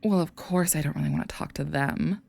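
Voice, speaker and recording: A seductive demon in a woman's body, acted by a woman for an audio roleplay. The voice is low and creaky, slipping into breathy whispers as it mocks and teases in drawn-out phrases. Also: a little mellow, full character voice. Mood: smug, musing. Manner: mocking, teasing, drawn-out phrasing.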